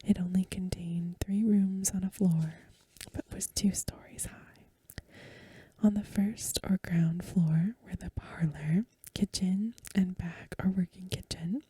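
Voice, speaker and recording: A woman reading a story aloud in a soft, hushed, breathy ASMR whisper with gentle, measured phrasing. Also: fairly low pitch, steady tone, dry recording. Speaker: ASMR reader.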